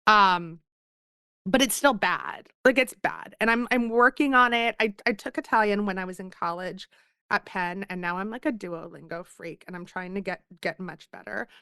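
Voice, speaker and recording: Chatty woman author with a smooth voice. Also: mid-range voice, moderate pace, no echo, studio quality. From Philadelphia. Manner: chatty, self-deprecating, lively storytelling. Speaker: author guest. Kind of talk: casual podcast interview.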